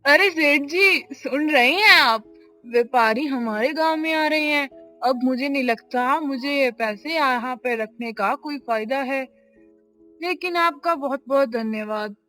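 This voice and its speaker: High-voiced courteous landlord played by a woman. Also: measured pace, sharp tone. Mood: pleased, grateful. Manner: formal, deferential. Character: courteous, trusting male landlord, earnest and grateful. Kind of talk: Hindi moral-story cartoon dialogue. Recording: faint music behind the voice.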